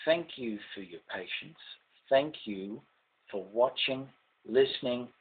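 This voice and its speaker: A man's voice: mid-range voice, expressive, slow pace, breathy edge, phone-quality sound. An older man with an Australian accent reciting rhymed ballad verse with theatrical, emphatic phrasing. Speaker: amateur poet reading own verse.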